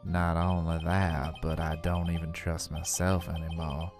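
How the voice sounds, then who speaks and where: A brooding, formal prosecutor from a video game, weighed down by self-doubt, acted by a man. His voice is low, and music plays clearly behind him. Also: level tone, unhurried. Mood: brooding, troubled. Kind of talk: fan voice acting of a visual novel game.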